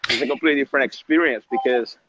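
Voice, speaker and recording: A man whose first language is Italian speaks at a middle pitch, eager and a little halting, leaning on fillers and quick laughs. His voice arrives muffled and lo-fi through an app call, with a faint hiss and clicks underneath. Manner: enthusiastic, halting, many fillers, laughs easily. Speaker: guest, music business lecturer. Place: Dublin, Ireland.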